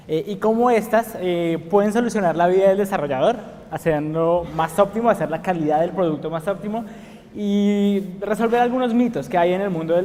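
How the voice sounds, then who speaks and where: A man's voice: high-pitched, a little mellow. A young man with a Bogotá Colombian accent, giving a conference talk with frequent hesitations and fillers. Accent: Bogotá Colombian Spanish. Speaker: conference speaker. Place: conference hall. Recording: long reverb of a conference hall.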